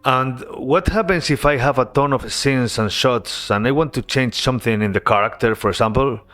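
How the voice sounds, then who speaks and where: A man narrating his game-development devlog as a voice-over, earnest and relaxed, with casual asides. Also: mid-range voice, moderate pace.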